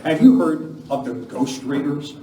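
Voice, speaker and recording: An actor playing an earnest, commanding masked lawman hero in a live Western radio play, speaking deliberately. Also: fairly high pitch, expressive, mellow. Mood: steady, grave. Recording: echoey room from far off, noisy.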